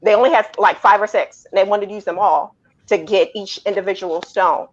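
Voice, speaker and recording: A woman shares her take as a panelist on a film review show, chatting casually. Her pitch is average for a woman, and the recording is a little dull and lo-fi. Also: fairly even intonation, fairly quick. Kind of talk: casual chat giving opinions.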